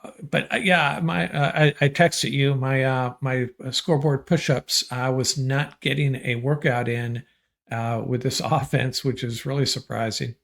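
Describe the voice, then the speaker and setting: Relaxed male podcast co-host, mid-pitched, hesitant delivery with fillers. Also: moderate pace, creaky. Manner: laid-back, hesitant, many fillers. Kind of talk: casual sports reaction chat.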